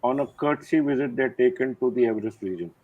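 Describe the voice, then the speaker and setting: Retired older general, unhurried and reflective, husky voice, phone-quality dry audio. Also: male voice, medium pitch, no echo. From India. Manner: measured, reflective, many "uh" pauses. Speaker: retired army general, guest. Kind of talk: interview conversation. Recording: thin phone-like line, dry.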